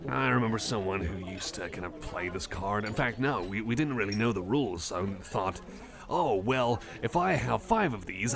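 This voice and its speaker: Busy cafe chatter surrounds a man playing a friendly, nerdy cyborg who collects cards. His voice sits on the low side. He rambles along casually in ASMR roleplay style, hesitant and a little apologetic. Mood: nostalgic, amused. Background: cafe crowd ambience.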